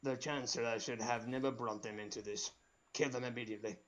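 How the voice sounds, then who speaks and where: A man voicing a sinister, cold hooded dark lord in a comedic read of a film script. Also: medium pitch, steady tone, fairly quick, dull audio, pitched below the performer's own voice, close to an everyday voice. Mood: cold, commanding.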